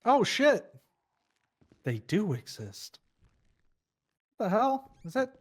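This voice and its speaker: Man acting a brash crook, higher-pitched male voice, very animated, slangy, incredulous, swearing, online game roleplay banter. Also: slow, exaggerated voice. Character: brash, incredulous male criminal defendant, streetwise and curious.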